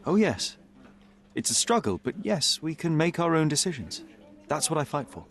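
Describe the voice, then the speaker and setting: An actor playing a young, principled and stubborn detective in a video game, speaking in a measured, formal way. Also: male voice, a little high, very animated. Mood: earnest. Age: young adult. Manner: measured, earnest, formal speech.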